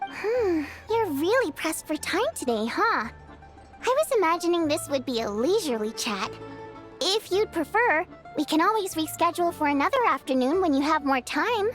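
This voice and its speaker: A woman's voice: very high-pitched, sing-song, moderate pace, fairly bright tone, soft background music, full character voice. A woman playing a bubbly, scheming young female singer in a video game, chatty and coaxing in a theatrical way. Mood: polite, coaxing. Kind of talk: video game character dialogue.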